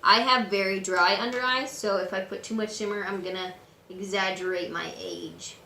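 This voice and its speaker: A woman with a raspy voice today, casually talking through a makeup tutorial at home. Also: mid-range voice, moderate pace, roomy sound, a little hiss or hum. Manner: chatty, relaxed and instructive, with frequent fillers. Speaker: beauty vlogger. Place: room at home.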